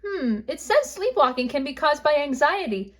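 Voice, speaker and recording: A woman voicing a caring, practical bunny mother in a children's storybook, in a calm manner. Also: high voice, moderate pace. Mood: concerned.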